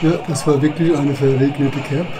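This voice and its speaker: A man's voice: fairly high pitch, fairly even intonation, normal speed, very noisy. A man narrating old home movies in a chatty, reminiscing voice-over. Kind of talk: casual voice-over commentary. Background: background music.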